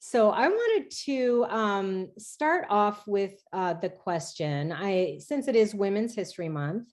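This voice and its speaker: A woman in her forties hosts an interview on a video call from her kitchen. She sounds eager when she welcomes her guests. She pauses on fillers while she puts her questions together. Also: mid-range voice, expressive, darkish tone. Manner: enthusiastic, with hesitant fillers when asking questions. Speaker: host, executive chef. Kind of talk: interview hosting. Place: home kitchen.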